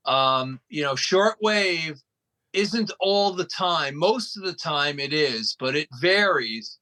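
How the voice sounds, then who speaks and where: A man's voice: a little high, expressive, slow, bright, forceful tone. A man speaking as an interview guest, explaining things in an emphatic, folksy storytelling way. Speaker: interview guest, radio station operator. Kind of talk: conversational explaining.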